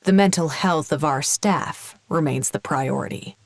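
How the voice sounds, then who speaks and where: Weary female scientist for audio drama: deep-set, unhurried, awkward, dryly clinical. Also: gravelly. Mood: clinical, detached. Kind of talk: audio drama acting, naturalistic.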